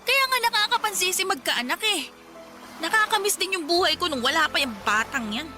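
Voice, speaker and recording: A woman's voice: very high voice, lively intonation, brisk, bright, forceful tone, faint music behind, full character voice. A woman playing a sullen, resentful young mother in a Filipino radio drama, whining and talking back defiantly. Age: young adult. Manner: whiny, complaining, talking back.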